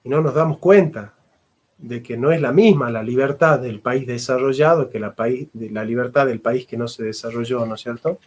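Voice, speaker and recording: A man's voice: mid-range voice, moderate pace, a little mellow. A middle-aged man, a philosopher and history teacher giving a fervent lecture and repeating phrases for emphasis. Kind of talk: impassioned lecture.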